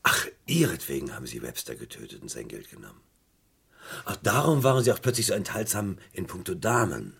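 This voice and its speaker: An actor playing a stern, impatient British police interrogator in a German radio crime play, with a commanding, sarcastic way of questioning. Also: male voice, low voice, big pitch swings, fairly quick, gravelly, fairly bright tone, husky, full character voice. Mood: exasperated.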